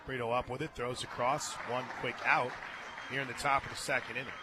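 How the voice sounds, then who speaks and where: An American man doing live radio play-by-play of softball, chatting and joking between pitch calls from a ballpark booth. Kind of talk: live softball commentary with casual banter.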